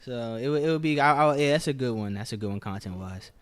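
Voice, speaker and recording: A man's voice: mid-range voice, animated, fast talker. A man hosting a casual podcast, talking in a laid-back way, hedging and repeating himself as he thanks a guest. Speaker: podcast host.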